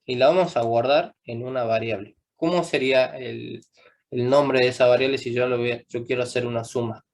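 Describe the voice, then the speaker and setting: A man's voice: mid-range voice, steady tone, fairly quick. Patient man teaching programming. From Argentina. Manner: patient, keeps checking in with "¿sí?". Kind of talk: live coding class.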